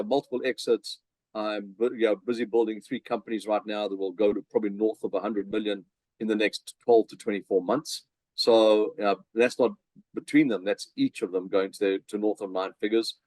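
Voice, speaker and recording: A man's voice: on the low side, fairly even intonation, normal speed, darkish tone, no room sound, low-quality recording. A middle-aged man with a South African accent, chatting energetically as a podcast guest with many fillers and restarts. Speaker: podcast guest, business coach. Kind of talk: casual interview chat.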